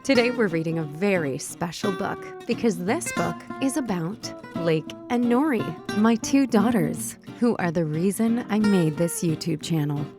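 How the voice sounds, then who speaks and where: A woman hosting a children's read-aloud, narrating and teaching early reading in simple, deliberate wording. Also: fairly low pitch, expressive, music in the background. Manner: simple, deliberate wording, instructing young readers. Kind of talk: slow phonics storybook read-aloud for young children.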